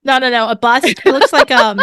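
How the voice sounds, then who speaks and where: A woman's voice: on the high side, lively intonation, brisk, bright, forceful tone, no echo. Congested, chatty woman co-host. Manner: chatty and opinionated, leading the talk. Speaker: podcast co-host. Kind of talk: casual chat.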